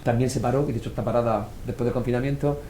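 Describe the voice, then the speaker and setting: A man's voice: mid-range voice, racing, slight background noise. A man with a Murcian Spanish accent, an author guest telling stories in casual conversation and acting out the voices he quotes. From Murcia, Spain. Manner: animated storyteller, acts out quoted voices.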